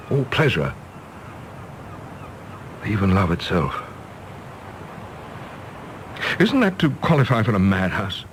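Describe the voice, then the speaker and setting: An actor playing a disillusioned middle-aged English diplomat, bitter and self-mocking, in an impassioned radio-drama style. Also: male voice, lowish voice, a little hiss or hum. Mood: wistful, anguished. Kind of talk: stage-play radio drama acting.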